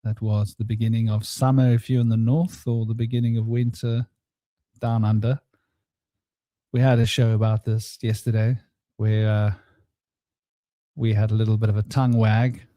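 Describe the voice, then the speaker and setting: A man hosting a casual spiritual round-table chat, rambling and relaxed, with a somewhat droning voice and many fillers. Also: on the low side, measured pace, long pauses, dry recording. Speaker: host. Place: home studio.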